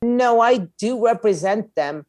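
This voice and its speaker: A woman's voice: a little high, expressive, a little slow, a little mellow, dry recording. Blunt, opinionated woman, dry close sound. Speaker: guest, music label owner and attorney. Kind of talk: conversational interview answers.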